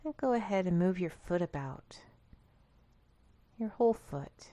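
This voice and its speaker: Woman, meditation guide, slow unhurried pace, lowish pitch, calm gentle instructions, dry close sound. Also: no room sound. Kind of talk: guided body scan meditation.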